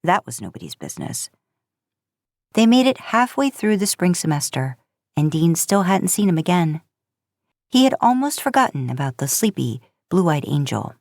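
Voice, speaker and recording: A woman's voice: lowish voice, very animated, moderate pace, darkish tone, no echo, clean studio sound. A woman narrating a story as a podcast host, in audiobook style.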